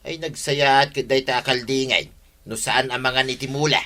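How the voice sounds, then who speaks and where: Guy acting annoyed blustery ilocano villager, really piercing forceful tone, radio comedy. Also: medium pitch, steady tone, normal speed, lightly acted. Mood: sarcastic.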